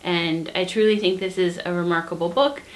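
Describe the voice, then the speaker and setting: A woman's voice: lowish voice, fairly even intonation, brisk, slight background noise, rough recording. Earnest woman chatting about books. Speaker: book review co-host. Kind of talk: casual book recommendation chat.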